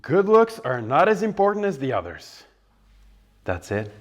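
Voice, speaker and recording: A man's voice: on the high side, wildly swooping pitch, normal speed. A man playing an earnest, organised, nerdy young bandmate in a sitcom, with a pedantic way of announcing things.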